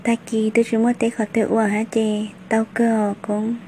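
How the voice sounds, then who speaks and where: A woman's voice: a little high, monotone, normal speed, warm tone, slight background noise, close to an everyday voice. A woman voicing a young village woman in a homemade cartoon, talking in a plain, conversational way and recorded on a phone. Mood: hushed. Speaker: voice actor. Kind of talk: amateur cartoon voice acting. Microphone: phone microphone.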